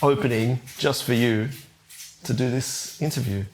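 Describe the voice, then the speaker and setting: A man's voice: mid-range voice, fairly even intonation, unhurried, a little hiss or hum. A middle-aged man from India, a host asking friendly, encouraging questions in a casual interview.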